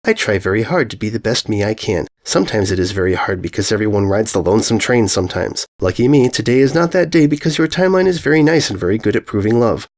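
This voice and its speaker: A man's voice: fairly low pitch, expressive, quick pace, few pauses, close to an everyday voice. A man voicing an earnest, eccentric, relentlessly positive male author with guileless, oddly phrased rambling in a story reading. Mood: upbeat, sincere. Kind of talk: fan fiction story reading.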